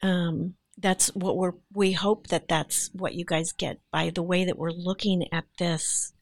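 Female actress guest, creaky voice in a dry close sound, chatting casually in interview. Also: on the low side, level tone, measured pace, dry recording.

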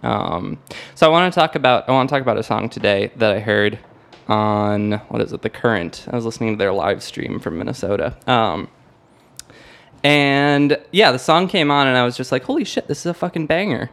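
A man's voice: medium pitch, fairly quick, creaky, fairly bright tone. A young American man hosting a podcast, chatting casually about music in a rambling, hesitant way that often circles back on itself. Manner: rambling, hesitant, self-interrupting. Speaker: podcast host.

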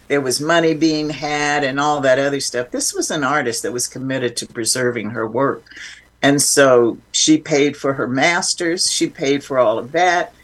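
A woman speaking as an interview guest, explaining informally and with emphasis in a reflective, conversational way. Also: sits low.

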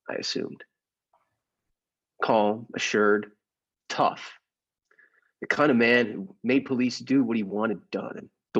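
A man playing a meek, henpecked husband who narrates his secret escape in measured, formal old-time radio style. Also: mid-range voice, breathy edge, highs cut off.

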